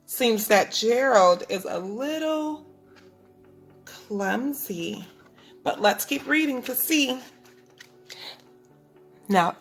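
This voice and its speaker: A woman teaching preschoolers, reading a picture book aloud in an instructive way, speaking clearly to children and asking them questions. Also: on the high side, a bit bright. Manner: instructive, asks the listeners questions, enunciates for children. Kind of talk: read-aloud lesson for young children.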